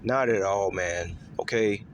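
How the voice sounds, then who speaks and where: A man talking in a casual, rambling monologue to his viewers, repeating himself with lots of fillers and letting his voice swing higher and lower. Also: unhurried, slight background noise. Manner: pitch swings up and down. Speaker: vlogger.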